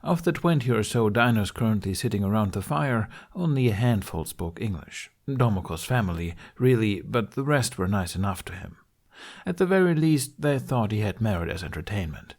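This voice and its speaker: A man's voice: fairly low pitch, animated, moderate pace, darkish tone. A man reading a scripted story aloud as an audiobook narrator.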